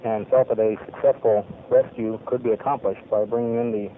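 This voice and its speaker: A man, a navy pilot, giving a formal spoken debrief of a mission in measured, clipped military phrasing. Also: lowish voice, fairly even intonation, slight background noise. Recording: tinny lo-fi archival radio recording.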